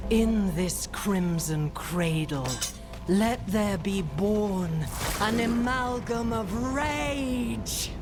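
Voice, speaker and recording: An actress playing a sinister woman solemnly intoning a menacing curse in a dark fantasy game. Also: sits low, exaggerated voice.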